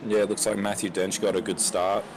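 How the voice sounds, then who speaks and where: A man with a croaky voice giving colour commentary on a sim race. He is halting, with many ums and restarts. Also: deep voice, little pitch movement, a little hiss or hum, low-quality recording.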